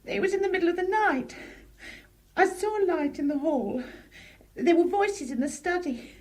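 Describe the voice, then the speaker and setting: A young housemaid breathlessly recounts her ordeal in a radio play. Her voice is very high and shaken. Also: expressive, moderate pace, a little mellow. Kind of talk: 1970s radio drama acting.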